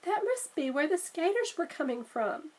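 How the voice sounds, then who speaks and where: An eager, bold little storybook girl, voiced by a woman reader. She pitches high and swoops upward as she exclaims and urges. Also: big pitch swings, moderate pace. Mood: eager, excited. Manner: bright exclamations, urging.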